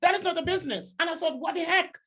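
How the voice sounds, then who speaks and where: A woman hosting a podcast, chatting casually in an emphatic, excitable way and often repeating her words. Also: very high voice, fast. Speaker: podcast host. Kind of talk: casual interview chat.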